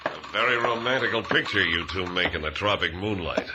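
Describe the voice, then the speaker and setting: An actor playing a mocking, menacing gangster villain with theatrical, flowery slang in a 1950s radio drama. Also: male voice, deep voice, expressive, a little fast. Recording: noisy vintage radio recording.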